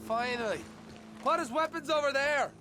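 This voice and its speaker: High-pitched, jumpy outlaw henchman. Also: moderate pace, bright, forceful tone, slight background noise. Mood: impatient. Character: jumpy male outlaw gang henchman, aggressive. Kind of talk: dramatic western game cutscene acting. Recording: music behind the voice.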